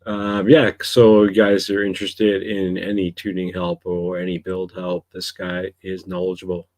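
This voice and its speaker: A man's voice: low voice, monotone, moderate pace, darkish tone, no echo. A man hosting a livestream, upbeat and greeting viewers as they join. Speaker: show host.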